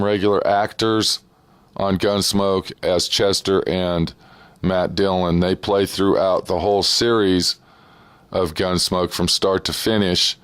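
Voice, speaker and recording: A man with a low voice gives a casual host's introduction. He takes his time, very slowly, and repeats words to stress them. The sound is dry and close. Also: clear, resonant tone, no echo. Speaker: host introducing old radio episodes.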